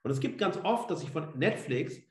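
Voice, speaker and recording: A man's voice: fairly high pitch, expressive, moderate pace. A middle-aged man, a professor giving an online lecture in a freely spoken, emphatic, conversational way. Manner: emphatic, conversational, explanatory with rhetorical questions. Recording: roomy, echoing room sound.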